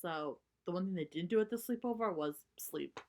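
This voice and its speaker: A woman reading a story aloud for children, narrating and pausing to explain things simply. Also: fairly low pitch, a little mellow.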